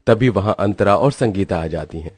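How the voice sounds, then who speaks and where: A man's voice: low-pitched, expressive, moderate pace, a little mellow, slightly rough, no room sound. A man reading scripted storybook narration as the narrator of a tale.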